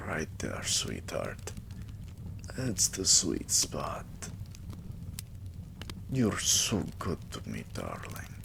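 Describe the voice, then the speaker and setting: A man playing a regal, possessive, doting vampire king in an intimate ASMR roleplay, with lordly, drawn-out phrasing and murmurs. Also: a bass voice, gravelly, noisy background, heavily acted. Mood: soothed, tender.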